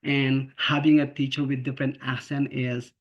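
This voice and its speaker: A man's voice: mid-range voice, flat delivery, moderate pace. A man from the Philippines speaks with a Filipino accent. He gives an earnest, informal presentation to fellow teachers, restarting words and checking in often. His mid-range voice comes through slightly dull, lo-fi video call audio. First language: not English. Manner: earnest, repeats and restarts words, asks "okay?" and "right?" often. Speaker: special education teacher presenting to international teachers.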